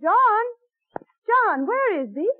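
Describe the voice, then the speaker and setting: Radio play casting: practical Quaker farm wife, high, animated voice, archaic phrasing, narrow old-time sound. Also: female voice, slow pace, exaggerated voice. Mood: calling, brisk. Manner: questioning, theatrical, archaic Quaker speech. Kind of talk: mid-century American radio drama acting. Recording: tinny, band-limited vintage radio recording.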